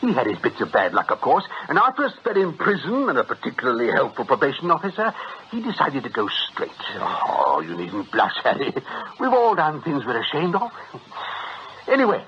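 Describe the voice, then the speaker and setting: An actor playing a jovial, wily British swindlers' ringleader in a radio drama, with an ironic, chuckling, avuncular way of talking. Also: male voice, medium pitch, huge pitch swings, moderate pace. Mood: wry, persuasive. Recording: dull radio recording, faint noise.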